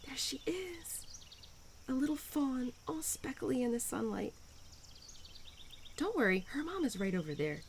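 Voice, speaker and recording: Spring spirit, female, played by a woman: playful, nurturing, teasing, lively swooping intonation, audio drama intimacy over faint birdsong and crickets. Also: sits high, a little slow, pauses often, slight background noise, exaggerated voice. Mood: hushed, gentle. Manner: bubbly, affectionate, gently teasing, expressive. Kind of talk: roleplay audio drama addressed to the listener.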